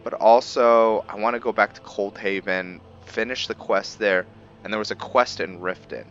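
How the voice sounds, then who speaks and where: Brief: man doing let's-play commentary, deep bright voice, unhurried, muffled mic with faint game music. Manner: rambling, thinking aloud with many ums. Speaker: gaming video creator.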